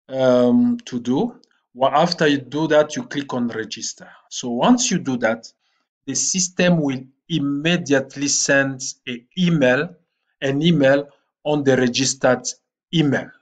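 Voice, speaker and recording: Slow, halting man giving a screen tutorial. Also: medium pitch. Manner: slow and halting, with frequent "uh" fillers. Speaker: online platform trainer. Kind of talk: screen-recorded tutorial walkthrough.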